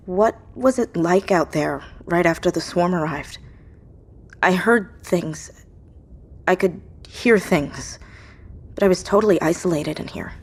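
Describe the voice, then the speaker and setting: A woman's voice: fairly low pitch, measured pace, breathy edge. A woman playing an isolated, anxious survivor in an audio drama, with a hesitant, earnest, questioning delivery. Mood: uneasy, curious.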